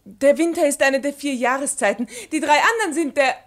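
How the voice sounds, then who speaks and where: Eager, high-voiced young pupil. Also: female voice, a little fast, a bit bright. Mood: earnest, eager. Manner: polite, eager, schoolgirlish. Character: eager, well-bred young female pupil. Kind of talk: 1950s German-language radio play acting.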